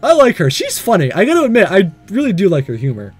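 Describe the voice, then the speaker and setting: A man hosting a let's-play of a visual novel, reading aloud and commenting in a gushing, excitable way. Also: high-pitched, fast, husky. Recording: faint music behind. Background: soft game music.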